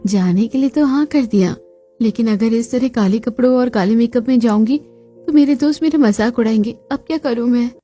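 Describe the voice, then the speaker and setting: A woman voicing a put-upon young bride in a family cartoon, expressive and dutiful, given to muttering. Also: on the high side, moderate pace, faint music behind, natural voice. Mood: worried, scheming.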